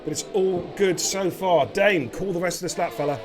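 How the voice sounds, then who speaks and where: Croaky chatty male race commentator. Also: high voice, moderate pace, music in the background. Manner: chatty, laughs easily, stumbles and repeats words. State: slightly croaky voice. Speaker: lead sim racing commentator. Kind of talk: live esports race commentary.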